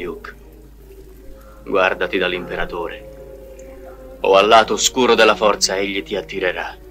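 A man playing an ancient, wise little alien master, speaking gravely with inverted word order. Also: low voice, pushed and bright, full character voice.

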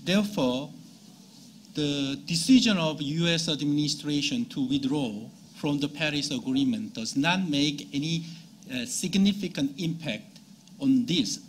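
Male panelist, somewhat high pitch, formal measured phrasing, faint room noise. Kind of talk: formal statement in a policy debate. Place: conference meeting room. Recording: conference room with background noise.

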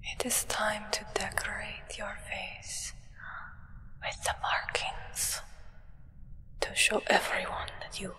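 A young woman whispering a formal, deliberate ASMR roleplay as a solemn, devoted acolyte to a vampire sovereign. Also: mid-range voice, monotone, bright, forceful tone, in a large hall. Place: indoors, small draped set.

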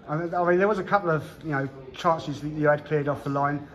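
Higher-voiced man interviewing. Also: quick pace, fairly bright tone, poor audio. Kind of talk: casual post-match sports interview.

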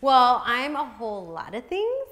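An American woman in her thirties telling her personal story to camera in a candid, animated way, peppered with "like". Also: high-pitched, measured pace. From Dominican Republic, raised in northeastern United States. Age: 30s. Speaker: professional bikini fitness competitor. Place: large open indoor living area with kitchen. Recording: reverberant room, music underneath. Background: background music.

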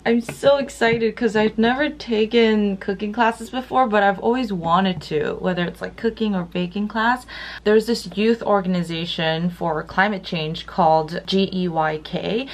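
Young woman vlogger, upbeat and chatty, just-woke-up lower voice, arm's-length camera. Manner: chatty, upbeat, says "like" often. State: voice deeper than usual after just waking. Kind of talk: casual vlog talk to camera. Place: small apartment room, indoors. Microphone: handheld camera at arm's length.

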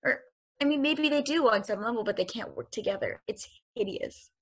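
A woman voicing an earnest, curious space probe who hesitates often and wonders aloud. Her voice is fairly high and breathy. It is read into a home video-call mic. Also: expressive, brisk. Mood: wondering.

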